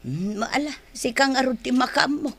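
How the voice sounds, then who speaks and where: A woman's voice: high voice, animated, normal speed, fairly bright tone, breathy edge, dry recording, heavily acted. An actress playing a patient older mother and wife with an Ilocano accent, coaxing and mildly chiding in a radio drama. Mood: concerned. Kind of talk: Philippine radio drama acting.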